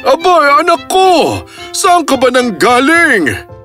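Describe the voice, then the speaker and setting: A concerned king in a children's cartoon tale. He sounds like a doting, worried father, with a lively pitch and music behind. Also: slow, heavily acted. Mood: alarmed. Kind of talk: animated children's story acting.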